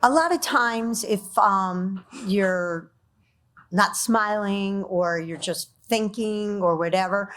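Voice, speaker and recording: Woman panelist, funeral director; loud, brisk, animated, even mid voice. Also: mid-range voice. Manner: brisk, animated, laughs between points. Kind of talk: panel discussion.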